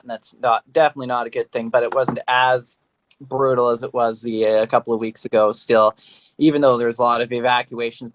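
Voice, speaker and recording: A man giving casual weather commentary in rambling, loosely linked phrases. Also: mid-range voice.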